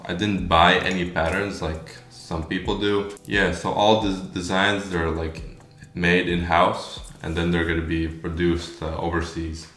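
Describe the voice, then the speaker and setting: A young man of about twenty speaks English with a Latvian accent in a low-pitched voice. He chats informally, with some slang, as the founder of a small brand answering questions. The room around him is clearly echoey. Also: fairly bright tone. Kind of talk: casual Q&A chat.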